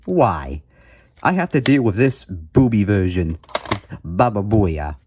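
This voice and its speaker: A man's voice: lowish voice, sing-song, slow pace, darkish tone, breathy edge, dry recording, studio quality. A man hosting a music collection video, talking casually through his items in a playful, jokey way with mock emphasis. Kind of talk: casual show-and-tell vlog commentary.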